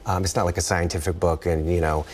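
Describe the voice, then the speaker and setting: A man's voice: deep voice, flat delivery, fast talker, darkish tone, a little hiss or hum. An American man, an author being interviewed, chatting casually and rambling, often trailing off mid-thought.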